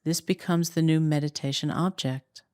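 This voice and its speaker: A woman with a low voice leads a guided meditation as a teacher. She speaks calmly and without hurry, leaving long pauses between phrases. The recording is close and dry, with almost no room sound. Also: clear, resonant tone, no room sound. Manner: calm, unhurried, with long pauses.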